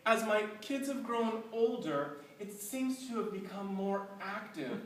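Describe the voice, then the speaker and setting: A middle-aged speaker telling a tall tale to a live audience in a deliberate, mock-scholarly way with dramatic pauses. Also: mid-range voice, expressive, fairly bright tone, recorded from a distance. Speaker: speaker giving a humorous club speech. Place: indoor room with live audience. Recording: echoey room from afar, faint noise.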